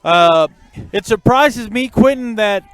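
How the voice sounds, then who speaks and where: A man calling a high school football game outdoors, folksy and joking with the crowd and his partner. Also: expressive, slow, clean tone, low-quality recording. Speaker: play-by-play announcer.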